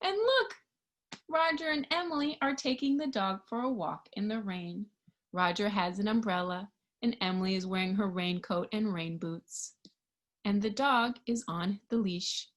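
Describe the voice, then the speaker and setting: A woman reading a picture book aloud to young children, expressively, pausing to put questions to her listeners. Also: medium pitch. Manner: expressive, animated, with hushed asides and questions to listeners. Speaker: storybook reader.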